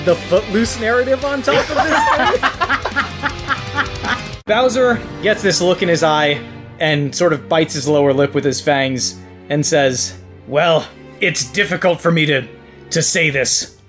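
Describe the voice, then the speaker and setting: A man's voice: a little high, measured pace, pauses often, a bit bright, soft background music. A man moderating a comedy podcast with casual, playful narration.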